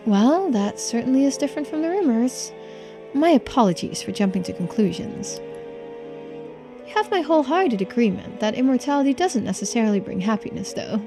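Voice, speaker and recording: A woman voicing a poised, unflappable female investigator in a video game, polite and gently needling in measured, formal phrases. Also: fairly high pitch, very animated, music in the background. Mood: politely yielding. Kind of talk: game character voiced in a playthrough.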